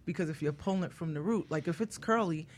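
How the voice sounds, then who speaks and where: A woman's voice: low-pitched, quick pace, a little hiss or hum. Female guest expert, a hairstylist. Conversational, emphatic, explanatory delivery.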